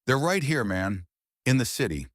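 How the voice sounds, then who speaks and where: A man's voice: mid-range voice, sing-song, moderate pace, no echo, studio quality, pitched above the performer's own voice, natural voice. A man acting a panicked young college athlete, shaken. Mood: desperate dread. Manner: breathless, shaken, breaking voice.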